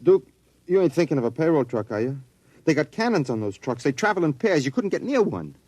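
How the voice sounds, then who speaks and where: An actor playing a nervous, soft-hearted henchman who stammers and talks in anxious slang, in an old-time radio drama. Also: a little high, sing-song, fairly quick, no room sound. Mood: uneasy, deferential.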